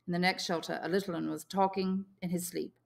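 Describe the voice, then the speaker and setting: Low-voiced woman narrating a novel. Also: flat delivery, fast talker, gravelly. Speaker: English teacher reading a novel. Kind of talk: audiobook narration.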